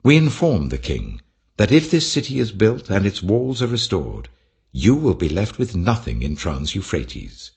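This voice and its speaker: Man reading as formal royal official, deep, emphatic. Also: sing-song, unhurried, creaky, darkish tone, exaggerated voice. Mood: urgent warning. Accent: British. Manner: formal, deferential, insistent. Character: formal Persian officials earnestly warning their king. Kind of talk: audiobook scripture reading.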